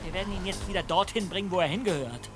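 Cheeky high-voiced teen boy played by a man. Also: fast talker, over music, close to an everyday voice. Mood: defiant. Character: bold, cheeky, sporty teenage boy detective. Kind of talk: German audio drama acting.

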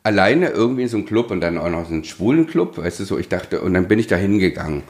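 A man co-hosting a podcast, telling personal things casually in halting, restarted phrases. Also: sits low, expressive. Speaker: podcast host.

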